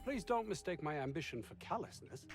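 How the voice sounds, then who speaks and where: A man's voice: a little high, very animated, brisk, music in the background. A man playing an arrogant, aggrieved research doctor in a video game, speaking in a formal, theatrical and self-important way. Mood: defensive, haughty. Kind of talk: video game character dialogue.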